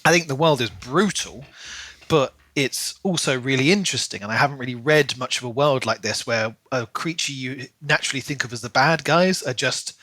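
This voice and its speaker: A man co-hosting a podcast, casually discussing a book in an animated way and putting on mocking imagined quotes. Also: medium pitch, normal speed, no room sound. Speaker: podcast co-host.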